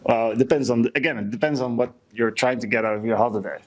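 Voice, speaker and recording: A man co-hosting a podcast in casual, unscripted banter, jokey and agreeable, quick to react. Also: medium pitch, steady tone. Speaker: podcast co-host.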